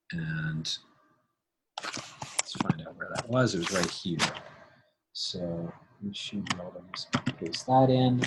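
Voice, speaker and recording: Deep-voiced male tutorial host, informal think-aloud delivery, noticeably noisy recording with clicks. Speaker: podcast creator and sound designer. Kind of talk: casual software tutorial narration. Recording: background noise, mouse clicking.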